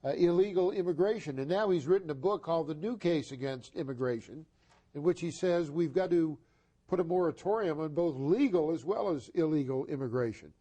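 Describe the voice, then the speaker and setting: Older guy hosting a studio interview, formal, mellow voice. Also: on the high side, lively intonation, fairly quick. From Boston. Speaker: talk show host.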